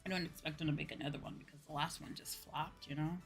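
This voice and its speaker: A woman's voice: low voice, steady tone, fast talker. A woman livestreamer chatting casually while she games, talking in a colloquial, slangy and mildly exasperated way. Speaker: VTuber streamer. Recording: music playing behind the voice. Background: background music.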